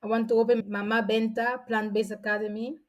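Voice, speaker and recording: A woman's voice: on the high side, little pitch movement, brisk, lo-fi sound. A woman in her forties from São Tomé and Príncipe, a guest telling stories in a chatty way with frequent restarts. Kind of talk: casual storytelling.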